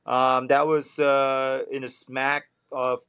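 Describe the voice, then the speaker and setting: Guest coach, earnest, level-toned, dry sound. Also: male voice, mid-range voice, level tone, slow, a bit bright, clean tone, dry recording. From Indonesia. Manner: earnest, searching for words. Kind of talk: casual interview.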